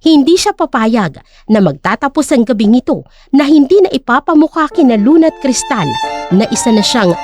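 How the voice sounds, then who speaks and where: A woman's voice: high voice, sing-song, moderate pace, darkish tone, soft background music. A woman with a Filipino accent reading a scripted dramatic story as narrator.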